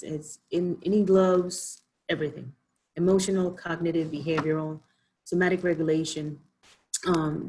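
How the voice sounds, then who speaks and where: Halting Dominican-born woman doctor, husky voice. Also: deep voice, dark tone, poor audio. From Dominican Republic. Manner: hesitant, many ums and restarts. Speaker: physician giving a health talk. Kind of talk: informal online presentation.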